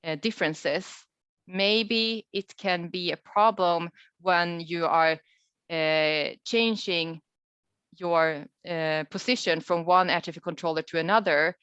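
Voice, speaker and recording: A woman researcher answering questions in an informal webinar, speaking thoughtfully and hesitantly with pauses mid-sentence. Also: lowish voice, steady tone, fairly bright tone, smooth, no room sound. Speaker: researcher presenting a study as webinar guest. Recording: dull, lo-fi online call audio.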